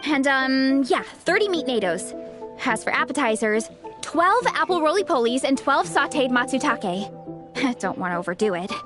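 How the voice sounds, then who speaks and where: High-voiced young game heroine, earnest, hearty and enthusiastic, over light music. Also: female voice, sing-song, normal speed. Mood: eager. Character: cheerful young woman, hungry fighter and devoted comic fan. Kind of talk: video game voice acting.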